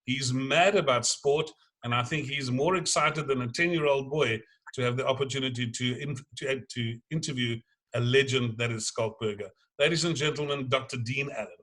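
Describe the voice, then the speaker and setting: Bright-voiced man introducing a speaker. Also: medium pitch, moderate pace. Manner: hesitant, with many fillers. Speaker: master of ceremonies.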